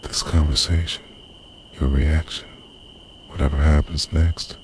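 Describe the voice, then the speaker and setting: A man's voice: very deep voice, little pitch movement, measured pace, darkish tone, slight background noise. A man playing an obsessively devoted time-travelling boyfriend in an intimate, earnest, half-whispered ASMR roleplay. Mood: solemn promise. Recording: night crickets and owl behind voice. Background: night insects, crickets and hooting owl.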